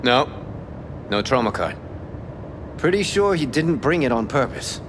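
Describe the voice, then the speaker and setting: An actor playing a jaded, crude official in a video game, talking in an offhand, matter-of-fact way. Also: male voice, mid-range voice, very animated, a little slow, background noise. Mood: offhand, cynical.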